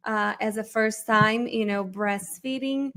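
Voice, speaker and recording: A woman's voice: mid-range voice, fairly even intonation, measured pace, pushed and bright, reverberant. A middle-aged woman with a Brazilian accent hosting a live online class, chatty and upbeat, thinking aloud as she shares her screen. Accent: Brazilian Portuguese. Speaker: therapy educator hosting a live webinar. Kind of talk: casual live online presentation.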